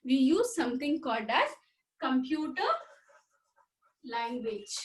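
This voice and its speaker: A high-pitched woman teaches a school computer class. She talks straight to the children, repeats herself and asks questions as she goes. Her voice sits well above most women's. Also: animated, measured pace, low-quality recording. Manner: repetitive, addresses children directly, asks questions. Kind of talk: recorded school computer lesson.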